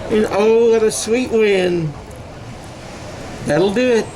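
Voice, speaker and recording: A man's voice: high-pitched, slow pace, background noise. A man giving live amateur play-by-play of a football game, calling the clock and score in short bursts from the stands outdoors. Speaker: play-by-play announcer.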